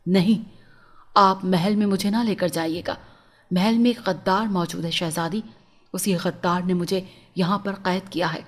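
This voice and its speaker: A woman's voice: lowish voice, steady tone, normal speed, pitched below the performer's own voice, lightly acted. A woman voicing a young captive prince in a storybook tale, earnest and pleading, speaking formally and respectfully. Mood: urgent, earnest. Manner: formal, respectful, urgent address.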